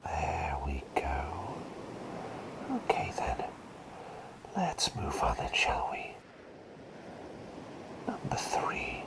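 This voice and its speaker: A man playing a gentle, curious masked plague doctor in a whispered ASMR roleplay, breathy, playful and polite. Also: very deep voice, big pitch swings, extremely slow, long pauses, heavily acted. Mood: soothing, playful. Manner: soothing, playful, polite murmuring.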